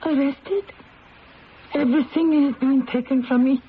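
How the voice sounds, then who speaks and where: A woman's voice: high-pitched, fairly even intonation, unhurried, slight background noise. An actress playing a proud, weary, sorrowful condemned French queen in a theatrical old-time radio drama style. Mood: distressed. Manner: theatrical, imperious, emotional. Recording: dull lo-fi radio, music behind.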